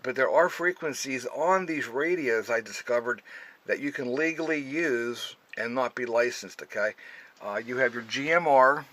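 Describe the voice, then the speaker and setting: Man giving a halting how-to walkthrough. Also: mid-range voice, a bit bright. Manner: halting, often checking with "okay". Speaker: radio tutorial presenter. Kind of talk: casual unscripted how-to explanation.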